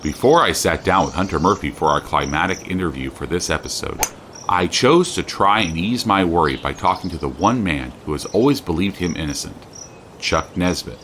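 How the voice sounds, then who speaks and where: Actor as low-voiced male true-crime podcast host. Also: background noise. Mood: uneasy, measured. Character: true-crime podcast host, a man, earnest and probing investigator. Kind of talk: scripted true-crime narration and interviewing.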